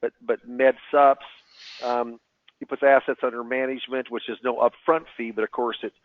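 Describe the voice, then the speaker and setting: A man's voice: medium pitch, flat delivery, dry recording. A man answers webinar questions as a financial advisor. He stumbles and repeats words as he talks. His voice comes through a tinny phone line with a dry sound. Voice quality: halting, repeats words often.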